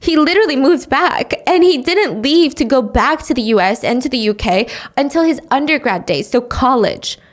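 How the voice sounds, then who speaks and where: A woman's voice: sits high, animated, normal speed, few pauses. A woman hosting a true crime podcast, telling the story in casual chat with sarcastic asides and quoted voices. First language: English. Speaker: podcast host.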